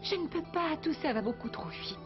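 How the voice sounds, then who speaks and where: A woman's voice: sits high, animated, brisk. A woman acting a guarded, spirited young woman in a dubbed cartoon, earnest and clipped. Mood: defiant. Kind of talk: dubbed animated series acting. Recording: muffled sound with music underneath.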